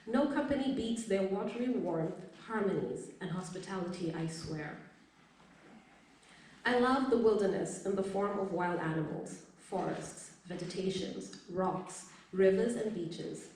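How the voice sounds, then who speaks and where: A woman's voice: mid-range voice, unhurried, a little mellow. Female guest reader, literary recitation, strong room echo, set back from the mic. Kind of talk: reading a literary piece aloud. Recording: very echoey room, distant mic, faint noise.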